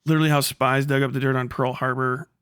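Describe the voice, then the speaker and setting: A man's voice: mid-range voice, flat delivery, fast talker, a bit bright, smooth, no echo, clean studio sound. A man livestreaming a casual rant in a blunt, sarcastic, heavily profane way. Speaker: live streamer.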